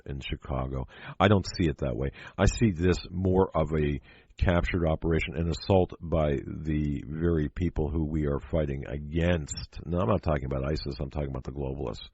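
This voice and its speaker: Deep, husky American male talk radio host. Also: a little mellow. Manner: emphatic, halting delivery with rhetorical questions and repeated phrases. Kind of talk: political talk radio monologue. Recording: dull, lo-fi, dry radio audio.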